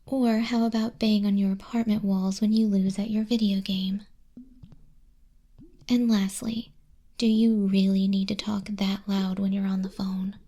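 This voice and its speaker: Woman as a soft husky whispering demon clerk. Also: mid-range voice, level tone, unhurried. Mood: smug, scolding. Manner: condescending, teasing, dryly bureaucratic. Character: smug female demon clerk registering sinners in hell. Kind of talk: ASMR roleplay, soft-spoken and whispered.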